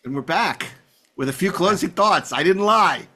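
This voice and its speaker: A man hosting a casual chat show, speaking haltingly and repeating his phrases. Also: high-pitched, animated, sharp tone. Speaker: podcast host.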